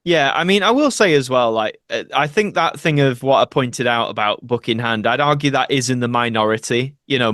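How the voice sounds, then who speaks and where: A man's voice: medium pitch, fairly bright tone, no echo, clean studio sound. A man, an actor, chatting casually as a podcast guest and explaining his thoughts in a conversational way. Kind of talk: casual interview chat.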